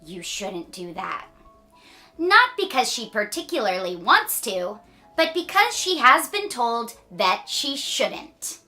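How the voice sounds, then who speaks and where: A woman reading a children's story aloud with playful asides and dramatic, drawn-out emphasis. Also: on the high side, big pitch swings, bright, forceful tone, faint music behind. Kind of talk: animated read-aloud storytelling.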